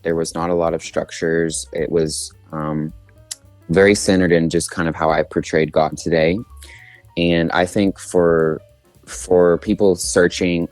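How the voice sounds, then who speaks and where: A man's voice: low voice, dry recording. A man in his twenties from Oklahoma with an American accent, answering casually as a guest in a radio interview. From Oklahoma, United States. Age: 20s. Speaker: interview guest, singer-songwriter.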